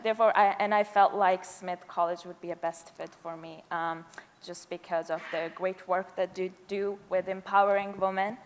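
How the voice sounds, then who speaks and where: A woman's voice: on the low side, steady tone. A nervous student in her twenties, a woman from Afghanistan who grew up in Pakistan, giving a hesitant, informal speech. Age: 20s. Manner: hesitant, halting. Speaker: university student speaker. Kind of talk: informal, unscripted public speech. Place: large indoor event hall. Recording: echoey hall, long reverb.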